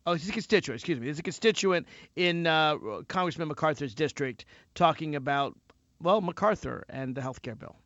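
A man's voice: on the high side, brisk, a bit bright, no echo, lo-fi sound. A man from Tennessee, hosting casual talk radio in a wry, rambling, self-deprecating way. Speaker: radio host.

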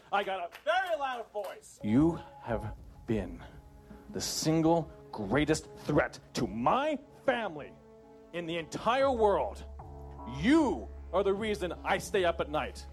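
A man speaking at a town hall meeting into a handheld mic, angry and pleading, his voice rising to shouts. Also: a little high, sing-song, measured pace, faint music behind. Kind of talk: impassioned speech at a town hall.

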